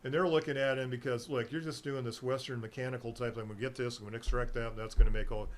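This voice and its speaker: A man's voice: medium pitch, steady tone, quick pace. Rambling chatty middle-aged man. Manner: chatty, meandering, laughs easily. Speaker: guest, herbal nutrition seller. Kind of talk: rambling casual chat on a local radio show.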